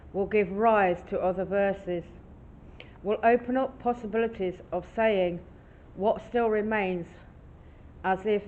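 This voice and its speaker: A woman giving a solemn dramatic reading, measured and deliberate, with pauses between phrases. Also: mid-range voice. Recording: muffled, faintly noisy recording.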